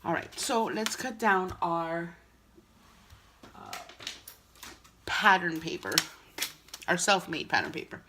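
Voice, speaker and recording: A woman's voice: fairly low pitch, very animated, takes it slowly, long pauses, background noise. A woman hosting a card-making tutorial, chatty and explaining each step, sounding nasal from a cold. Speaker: craft channel host.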